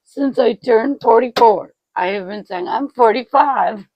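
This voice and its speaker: A woman's voice: on the high side, measured pace, lo-fi sound, slight background noise. A woman in her forties chatting casually to her vlog audience at home, self-mocking and swearing freely. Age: 40s. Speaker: vlogger.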